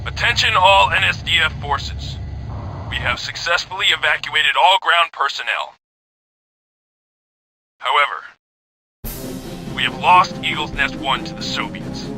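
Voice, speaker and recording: A stern general in a war video game. His voice sits a little high for a man, and he issues orders with clipped, formal authority. Music plays prominently underneath. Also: animated, a little slow, pauses often, low-quality recording. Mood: grave resolve. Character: stern, commanding male army general. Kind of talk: military mission briefing.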